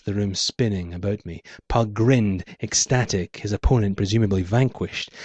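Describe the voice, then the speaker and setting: A man reading his own first-person noir story as scripted narration, in a measured, dramatic storytelling manner. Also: lowish voice, a little mellow, no room sound.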